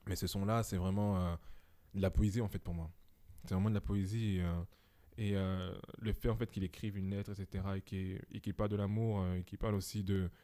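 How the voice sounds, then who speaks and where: Casting: young man in his twenties, low mellow voice, reflective podcast guest who hesitates often. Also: level tone, a little fast. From Belgium. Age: 20s. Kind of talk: casual podcast conversation. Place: home.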